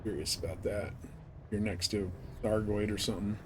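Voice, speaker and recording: A man's voice: fairly even intonation, normal speed. Casual male game streamer over background noise. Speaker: live streamer playing a space flight game. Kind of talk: casual gameplay commentary, chatting to viewers. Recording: clear background noise, game engine rumble. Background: spaceship game sounds.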